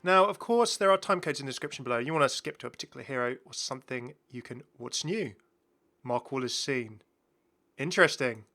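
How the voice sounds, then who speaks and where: A man's voice: medium pitch, lively intonation, brisk, sharp tone. A man presenting a gaming video in rambling, casual commentary, chatty and enthusiastic as he goes off on tangents.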